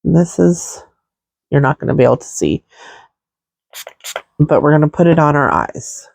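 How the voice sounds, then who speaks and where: Slow, congested woman chatting about makeup. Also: low-pitched, big pitch swings, vocal fry, a little mellow, dry recording. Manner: slow, rambling, many fillers. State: stuffy nose, congested. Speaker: makeup vlogger. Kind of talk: casual makeup tutorial chat.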